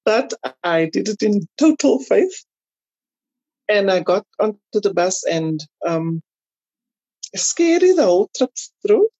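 A South African woman tells personal stories in a relaxed podcast interview. Her voice is mellow and husky, and she acts out remembered remarks as she goes. The recording is dry and somewhat dull, with little high-end detail. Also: takes it slowly, dry recording. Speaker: podcast guest. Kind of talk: casual interview chat, telling personal stories.

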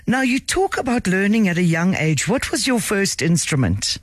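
A man's voice: high-pitched, sing-song, moderate pace, no room sound, studio quality. A man hosting a radio music show who interviews his guest with eager enthusiasm and frequent affirmations. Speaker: radio host.

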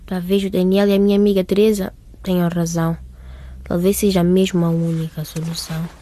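An actress playing a troubled teenage girl, softly and anxiously thinking aloud in a radio drama. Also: lowish voice, little pitch movement, brisk, natural voice. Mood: worried, musing. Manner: soft, anxious musing. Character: troubled, pregnant fifteen-year-old girl.

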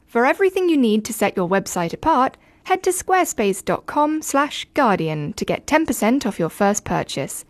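A woman's voice: on the high side, sing-song, moderate pace, no echo. A woman reading a scripted sponsor advert as an announcer.

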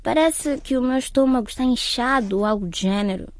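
A woman's voice: fairly high pitch, a little fast, dry recording, natural voice. An actress playing a dutiful teenage schoolgirl and daughter in a radio drama. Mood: unwell, hesitant.

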